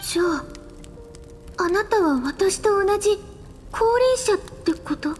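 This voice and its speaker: A woman playing a gentle, inquisitive young female traveler in an anime-style fantasy game. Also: extremely high pitch, lively intonation, normal speed, faint music behind. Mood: curious.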